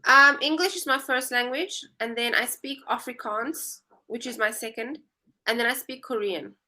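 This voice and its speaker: A woman's voice: a little high, moderate pace, pushed and bright. A woman in her twenties with a South African accent, chatting as an English tutor on a video call and explaining things patiently. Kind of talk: casual video-call conversation.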